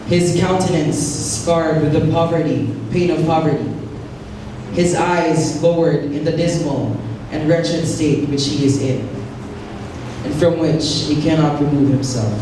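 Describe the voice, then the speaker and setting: Slow, solemn Filipino man in an echoey hall. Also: a little high, noisy background. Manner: oratorical, deliberate, grave. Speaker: narrator introducing a stage play. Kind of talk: solemn scripted stage prologue. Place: large hall, stage play recorded from afar. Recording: echoey distant hall recording with loud music. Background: loud music.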